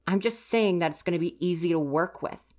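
A woman's voice: lowish voice, a little fast, darkish tone, lightly acted. A woman playing a grumpy, easily flustered university-age stagehand in an ASMR roleplay, huffy and exasperated, talking straight to the listener. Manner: huffy, exasperated, sighing scolding.

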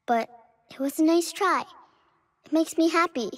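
High-voiced ghostly little girl. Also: moderate pace. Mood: gentle, resigned. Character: ghostly little girl, tender, solemn, knowing daughter. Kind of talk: game acting, storybook-like delivery.

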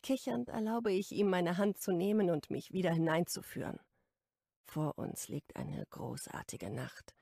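A woman reading a romance audiobook in the first person, intimate and expressive. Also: on the low side, a little slow, gravelly, no echo. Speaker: audiobook narrator.

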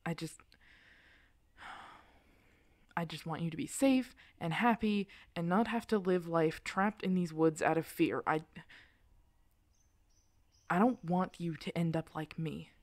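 A woman playing a guarded, protective lone werewolf in an intimate ASMR audio roleplay, earnest and halting. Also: on the low side, darkish tone, dry recording. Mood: soft, worried. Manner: earnest, halting, weary sighs.

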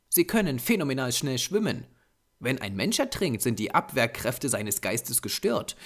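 Man playing a grave, ominous lore-teller, lively pitch. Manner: solemn, measured, insistent. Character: grave Japanese man, ominous, urgent storyteller of dark lore.

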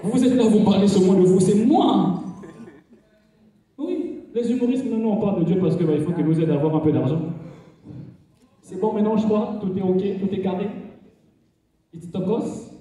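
A male stand-up comedian performing on a theater stage, speaking in an animated way and joking in broken English. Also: high-pitched, moderate pace, long pauses. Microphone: handheld mic, filmed from the audience. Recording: echoey hall from far off, audience.

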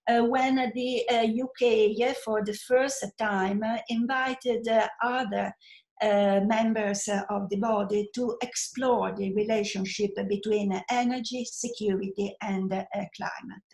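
An Italian-accented woman in her sixties gives a formal academic lecture, partly reading from notes, in a halting, hesitant way. She is speaking into a computer microphone on a video call. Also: medium pitch, fairly even intonation, a little mellow. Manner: halting, hesitant delivery with frequent filled pauses. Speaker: professor giving a lecture. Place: small indoor room at home or office. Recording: roomy, echoey webcam sound.

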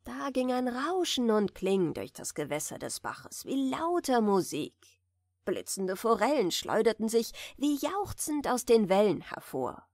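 A woman reading a fairy tale aloud as a bedtime audiobook, softly and soothingly, in a deliberately gentle manner. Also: a little high, sing-song, no echo, clean studio sound. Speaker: audiobook reader.

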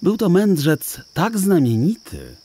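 A man narrating a children's audiobook in a theatrical, deliberate storytelling style. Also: high voice, wildly swooping pitch, dark tone.

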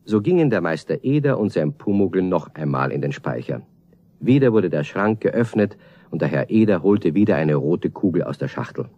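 A man's voice: sits low, very animated, normal speed, warm tone, muffled recording. A man narrating a children's radio play as a scripted storyteller.

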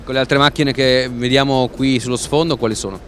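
A man's voice: medium pitch, fairly even intonation, a little fast, a bit bright, soft background music. Male interviewer asking leading questions. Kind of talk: trade fair interview questions.

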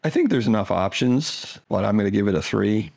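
A man with a low, gravelly voice gives relaxed opinions in a casual chat. He hesitates and restarts often, but stays laid-back. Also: brisk. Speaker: vlogger's husband, co-reviewer.

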